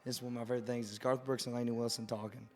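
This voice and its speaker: A man's voice: mid-range voice, flat delivery, very fast, faint music behind. A man co-hosting a podcast, reflecting in casual chat over drinks.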